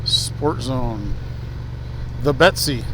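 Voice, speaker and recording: A man riding a motorcycle alone on an open highway, making short casual remarks as he goes, recorded on a helmet mic. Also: animated, very slow. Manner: thinks aloud, short remarks on the road. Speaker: solo motorcyclist. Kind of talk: casual ride commentary. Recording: road and wind noise from the helmet.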